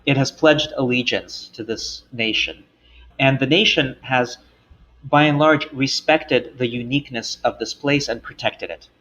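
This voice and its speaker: A man talks fluently and steadily, as if giving a lecture, in a middle-pitched voice. The recording sounds dull and lo-fi. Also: pushed and bright. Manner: fluent, measured lecture-like explanation. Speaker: interviewee, expert. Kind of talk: interview commentary.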